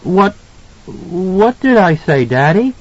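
A man voicing a frightened, confused, earnest little boy in an audiobook, with a halting, pleading delivery. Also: high-pitched, big pitch swings, pitched above the performer's own voice, heavily acted. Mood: anxious, confused. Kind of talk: audiobook character voice. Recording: slightly dull, lo-fi audio.

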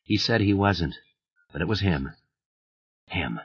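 A man's voice: low voice, animated, slow pace, slightly rough, exaggerated voice. A man voicing a tense, agitated man under strain who talks urgently and sharply. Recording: muffled lo-fi audio.

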